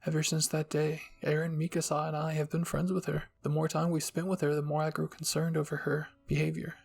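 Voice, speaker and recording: Anxious anime teen boy, read by a man, gentle, stammering, husky edge. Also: a little high, completely flat delivery, a little fast. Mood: concerned. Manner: hesitant, stammering, soft scolding. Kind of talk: anime fan-fiction audio reading.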